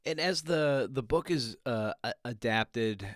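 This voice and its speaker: A man hosting an interview podcast, asking questions in a conversational, halting way and rephrasing as he goes. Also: medium pitch, dry recording, clean studio sound.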